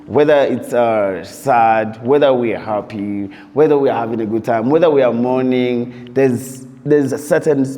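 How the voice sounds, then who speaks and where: A man's voice: medium pitch, unhurried, hardly any pauses, mellow. A man from Uganda, a DJ and producer reflecting in an interview, telling his story loosely and leaning on "you know" throughout. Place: interview set while on tour abroad. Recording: long reverb.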